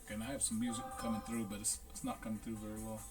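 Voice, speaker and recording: A man talking through a hands-on equipment check as a repair technician, in a casual, unhurried, halting way, thinking aloud. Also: fairly low pitch, little pitch movement, over loud music. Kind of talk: casual hands-on demonstration narration.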